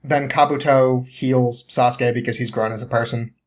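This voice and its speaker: A man telling a story casually as a podcast guest, halting and rambling as he goes, with an amused manner. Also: mid-range voice, fairly even intonation.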